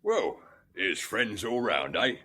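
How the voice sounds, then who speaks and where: A man's voice: lowish voice, sing-song, slow, pushed and bright, exaggerated voice. An actor playing a henpecked working-class English husband, hesitant, matey and deferential to his betters, in a radio drama. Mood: matey, apologetic.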